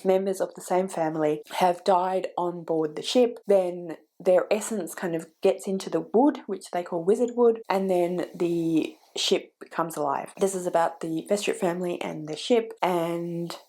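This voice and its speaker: A woman talks casually about books she's recommending, her voice stuffy and slightly croaky from a cold. Her tone is mellow and warm rather than bright. She rambles a bit, doubling back and correcting herself mid-sentence. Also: lowish voice, steady tone, measured pace, few pauses. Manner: chatty and meandering, with restarts and self-corrections. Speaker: book vlogger. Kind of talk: casual book recommendations to camera.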